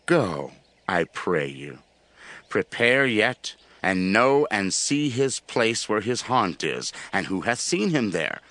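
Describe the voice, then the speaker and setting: A man's voice: fairly low pitch, very animated, slow pace, a bit bright, no echo, exaggerated voice. An obsessed scheming king for an audio bible, grand n theatrical, voice swoops around, super dry sound. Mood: cunning, ingratiating. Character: scheming, obsessive king hunting his rival. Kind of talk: dramatized audio Bible acting.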